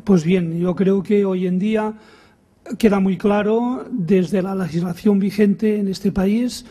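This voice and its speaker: A man's voice: high voice, slow pace, mellow, slightly rough. A middle-aged man with a Catalan accent, a native Catalan speaker giving a conference lecture, hesitant and repeating words as he searches for them.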